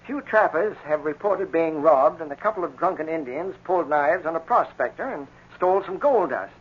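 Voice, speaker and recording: An actor playing a brisk, authoritative police inspector giving orders in 1940s radio drama style. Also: male voice, on the high side, lively intonation, breathy edge. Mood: concerned. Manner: brisk, official. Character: authoritative, concerned police inspector briefing his sergeant. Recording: dull 1940s radio recording.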